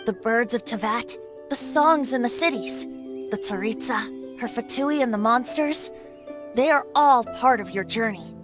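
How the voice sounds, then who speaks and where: A woman plays a youthful male bard who hides an ancient wind god. The voice is high and boyish, with a lilting, playful delivery that carries quiet wisdom. It sounds like video game dialogue, with soft music underneath. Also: natural voice. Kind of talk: video game character acting.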